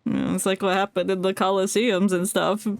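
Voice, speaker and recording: A woman's voice: mid-range voice, level tone, moderate pace, creaky, no room sound. A woman streaming a video game, giving playful, quipping commentary in casual chat. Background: game music.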